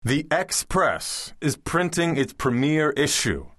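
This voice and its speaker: A man's voice: a little high, very animated, slow pace, fairly bright tone, no room sound, clean studio sound, heavily acted. A man playing a combative, embittered divorced publisher, declaiming verse to rally readers in an audiobook. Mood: defiant. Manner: declamatory, exhortative. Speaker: audiobook narrator. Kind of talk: dramatic verse recitation.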